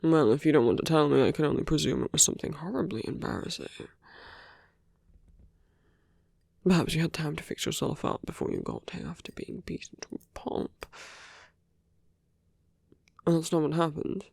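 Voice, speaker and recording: A performer voicing a smug, flirtatious villain in an ASMR roleplay, teasing and intimate, with a breathy murmur that often slips into whispers. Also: mid-range voice, big pitch swings, a little fast, darkish tone, no room sound, studio quality, full character voice. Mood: sly, probing. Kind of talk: ASMR romantic roleplay audio drama.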